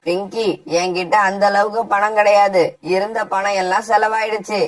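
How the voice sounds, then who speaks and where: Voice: medium pitch, little pitch movement, normal speed, distant mic, lightly acted. A voice actor playing a young male bird student in a cartoon, speaking in an earnest, reassuring way.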